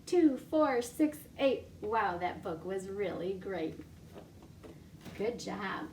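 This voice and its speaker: A woman librarian reading a picture story aloud to young children from her home, animated and full of gasps. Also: medium pitch, slow pace, distant mic, slight background noise. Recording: echoey room, voice off-mic.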